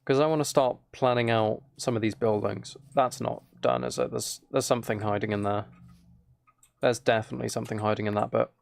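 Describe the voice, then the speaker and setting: A very tired man chatting casually and quietly on a game livestream, rambling and slightly mumbled in a soft ASMR manner. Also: mid-range voice, steady tone, brisk, vocal fry, dry recording. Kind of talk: casual gaming stream chat, ASMR.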